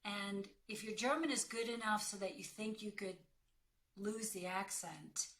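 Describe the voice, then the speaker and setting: A woman's voice: medium pitch, measured pace, fairly bright tone. A woman working as an actor and career coach, giving direct, emphatic advice in a conversational way. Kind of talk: conversational advice in a question-and-answer call.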